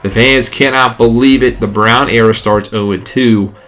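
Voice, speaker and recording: A man's voice: mid-range voice, moderate pace. Stuffy man calling game plays. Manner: excitable play-by-play, rising on big plays. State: stuffy, congested. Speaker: gaming YouTuber. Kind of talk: play-by-play commentary over a video game.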